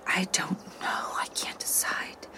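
An actress playing a frightened, lonely astronaut stranded on another world in an audio drama, speaking in a halting, intimate way. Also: female voice, natural voice. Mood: whispering, torn. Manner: halting, intimate, drifting into whispers. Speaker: mission specialist in an audio drama. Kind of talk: modern audio drama acting, recorded log monologue.

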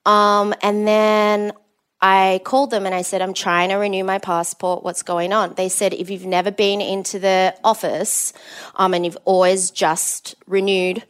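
A woman's voice: mid-range voice, level tone, a little slow, clean tone. A woman in her thirties with an Australian accent, chatting as a podcast guest, laughing often and restarting her sentences. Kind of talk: casual interview.

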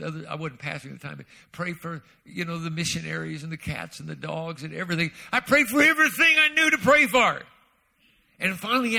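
Male guest preacher, animated storyteller, lively pitch, gravelly. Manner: animated, swinging between quiet asides and loud outbursts. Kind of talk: sermon storytelling.

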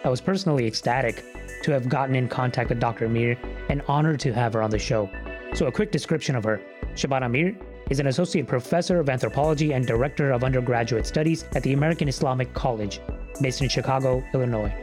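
A man hosting a podcast, introducing a guest and narrating in a measured scripted way. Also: mid-range voice, over music. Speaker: podcast host.

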